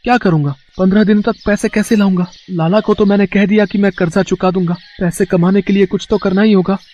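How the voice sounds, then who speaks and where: A man's voice: sits high, steady tone, quick pace, darkish tone, lightly acted. A man playing an earnest, defiant, devoted village son in a moral story. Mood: determined. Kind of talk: dramatised Hindi moral story. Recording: dull lo-fi, very dry.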